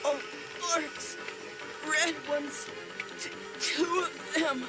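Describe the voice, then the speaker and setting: An actor voicing an alarmed, excitable male sentry in a video game, panicky and stammering. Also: very high voice, drawn-out words, full character voice. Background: loud music.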